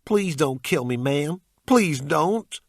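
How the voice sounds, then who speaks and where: Man putting on a raised-pitch slangy young guy. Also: expressive, measured pace, fairly bright tone, no room sound, studio quality. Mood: panicked pleading. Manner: slangy, blurting. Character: cocky young male driver turned terrified, pleading victim. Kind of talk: audiobook character voice.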